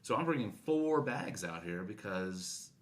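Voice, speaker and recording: A man co-hosts a podcast in an informal, conversational style. His voice is on the low side. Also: expressive, unhurried. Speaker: podcast co-host. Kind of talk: casual podcast chat.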